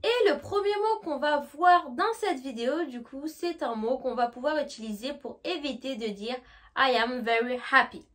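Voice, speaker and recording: A woman with a French accent leads an online English lesson in a high, light voice. She talks to the viewer directly and informally, and she stretches out each English model word so it can be repeated. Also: normal speed, a bit bright. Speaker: English teacher.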